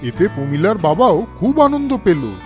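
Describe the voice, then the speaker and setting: A man's voice: high voice, big pitch swings, moderate pace, faint music behind. A man giving scripted narration for a children's cartoon story.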